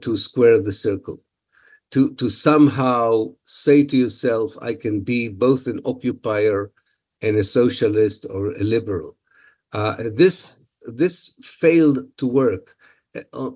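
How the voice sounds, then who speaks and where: A man's voice: mid-range voice, darkish tone. A man whose first language is Hebrew, from Israel, answering interview questions with many filler sounds and restarts.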